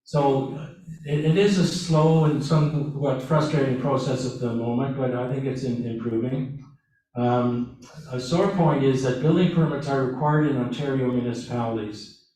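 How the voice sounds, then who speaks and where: Halting man in an echoey room. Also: medium pitch, mellow, far from the mic. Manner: halting, with frequent restarts and fillers. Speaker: presenter. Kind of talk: webinar presentation. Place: meeting room with audience.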